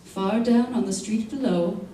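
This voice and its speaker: A cold, manipulative schemer of a woman, played by a stage actress in a vintage-style radio play. Her voice is soft-edged and mellow, with a measured, insinuating theatrical delivery. It rings through a very echoey hall, picked up from a distance. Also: medium pitch, lightly acted. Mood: cool confessional. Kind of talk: old-time radio drama acting on stage. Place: theater stage before a live audience. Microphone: stage mics, recorded by far camera. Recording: echoey stage hall, far mic, faint noise.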